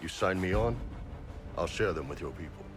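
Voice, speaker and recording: An actor playing a big horned Qunari mercenary boss in a video game, blunt, informal and jovial. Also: male voice, deep voice, lively intonation, normal speed. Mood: frank, serious. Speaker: mercenary captain and self-declared spy. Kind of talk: video game character dialogue. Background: game music.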